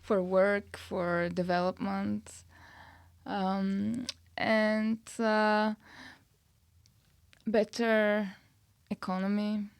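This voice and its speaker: A woman, answering casually as an interview guest in measured, hesitant phrases. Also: medium pitch, little pitch movement, creaky, smooth. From Bulgaria. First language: Bulgarian. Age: 30s. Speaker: podcast guest, nutritionist and online coach.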